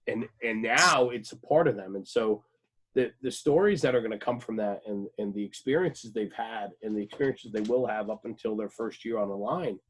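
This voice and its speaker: A man's voice: fairly low pitch, moderate pace, breathy edge, rough recording. A man chatting casually as a radio show host, informal and enthusiastic, with plenty of slang. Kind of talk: casual chat.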